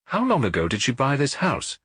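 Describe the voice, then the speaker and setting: A man's voice: mid-range voice, huge pitch swings, brisk, fairly bright tone, husky, no room sound, heavily acted. A man reading an audiobook, voicing a shrewd middle-aged male detective who asks short, probing questions. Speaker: audiobook reader.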